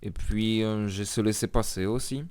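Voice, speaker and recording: A man's voice: lowish voice, steady tone, moderate pace, darkish tone, clean tone, close to an everyday voice. Man doing a polite hero who keeps stumbling over his words. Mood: flustered. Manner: polite, stammering under pressure. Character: hesitant male vampire hunter hero.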